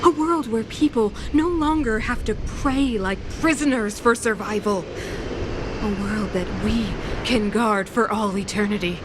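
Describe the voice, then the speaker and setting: A woman voicing a fanatical, commanding female ruler in a video game, cold and grandiose, with theatrical, deliberate oratory. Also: fairly high pitch, noisy background, natural voice. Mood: impassioned, fanatical. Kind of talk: dramatic video game voice acting.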